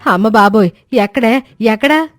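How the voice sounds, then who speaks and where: A woman's voice: fairly high pitch, animated, moderate pace, no room sound, studio quality, faint music behind, close to an everyday voice. Woman playing a grumpy, lazy mother-in-law. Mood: alarmed, scolding. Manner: indignant, bossy grumbling. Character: lazy, grumpy middle-aged mother-in-law, quick to scold.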